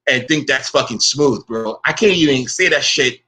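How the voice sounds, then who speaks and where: A man's voice: medium pitch, normal speed, pushed and bright, breathy edge. A man ranting on a vlog, confrontational and profane, firing "bro" at his rivals. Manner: says he keeps the same voice with everyone. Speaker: online commentator. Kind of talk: angry vlog rant.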